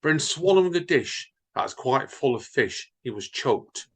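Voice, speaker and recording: A man reads stories and limericks in a husky voice. His pitch swings widely as he chats and teases his co-host between readings. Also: unhurried. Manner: lively, chatty, wry teasing. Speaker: podcast co-host and reader. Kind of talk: reading poems and casual chat.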